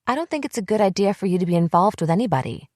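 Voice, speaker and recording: A woman's voice: medium pitch, lively intonation, racing, no room sound, clean studio sound, close to an everyday voice. Woman voicing a tearful pleading young woman.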